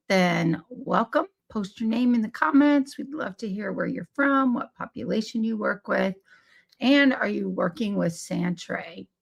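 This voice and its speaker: Hoarse, scratchy-voiced woman host. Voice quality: scratchy, squeaky voice. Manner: unhurried, friendly, with self-corrections and small laughs. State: hoarse from laryngitis. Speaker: podcast host and play therapy trainer. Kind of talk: live-streamed podcast talk.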